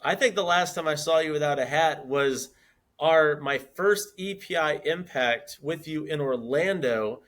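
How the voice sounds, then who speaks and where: A man's voice: on the high side, a little slow, a bit bright. A man in his fifties co-hosting a podcast, talking earnestly in casual chat and repeating words as he builds his points. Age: 50s. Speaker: podcast co-host.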